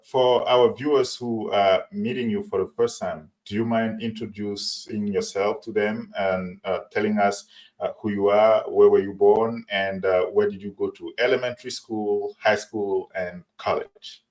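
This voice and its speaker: A man hosting an interview, asking long questions with frequent pauses and restarts. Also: fairly low pitch, fairly even intonation, darkish tone, rough recording. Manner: halting, many fillers and restarts. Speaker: interview host.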